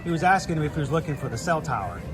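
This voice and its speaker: A man's voice: on the high side, very animated. Chatty Southern man at a theme park. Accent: Southern US, Georgia. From Atlanta area, Georgia. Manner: chatty, storytelling, quick to laugh. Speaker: livestream host. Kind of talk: casual chat with guests. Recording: outdoor park audio with music behind.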